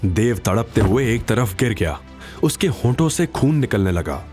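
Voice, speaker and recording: Guy narrating a dramatic audio story, super animated, soft music behind. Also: fairly low pitch, moderate pace. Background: faint background music.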